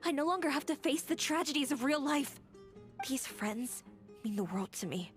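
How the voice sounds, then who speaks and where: A woman voicing an earnest, soft-spoken young woman in a video game. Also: high-pitched, expressive, normal speed, soft background music. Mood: wistful, insistent. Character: earnest young woman clinging to a comforting dream. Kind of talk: video game character acting.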